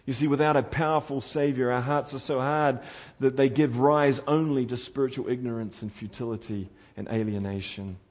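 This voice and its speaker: A man from New Zealand with a New Zealand accent, preaching a sermon in an earnest, pleading way, rising to loud urgency and dropping to quiet intensity. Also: medium pitch, normal speed, few pauses.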